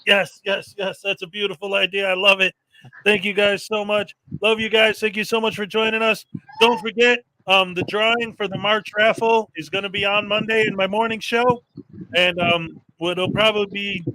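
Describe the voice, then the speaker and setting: A man's voice: high voice, level tone, normal speed, sharp tone, dry recording, rough recording. A man hosting a casual livestream show, upbeat and rambling, with lots of fillers. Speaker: livestream host.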